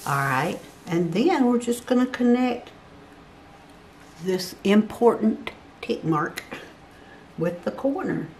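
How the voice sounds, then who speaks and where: A woman's voice: mid-range voice, expressive, slow pace, long pauses, a little mellow, slight background noise. An older woman giving a step-by-step drawing tutorial, patiently counting grid squares aloud as she works at a table. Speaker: craft instructor. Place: indoor work table.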